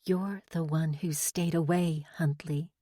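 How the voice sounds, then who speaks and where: A woman acts out a young romance heroine, flustered and lovestruck but feigning composure. Her delivery is coy and slightly breathy. The recording is dry and close, as in an audiobook. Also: on the low side, animated, fairly quick, darkish tone, dry recording, natural voice.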